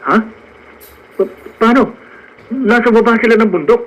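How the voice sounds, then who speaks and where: A man's voice: very high-pitched, a little slow, rough recording. An actor playing an earnest, lovestruck young farmhand who sometimes stumbles into his words, in a radio drama. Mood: eager, surprised. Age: young adult.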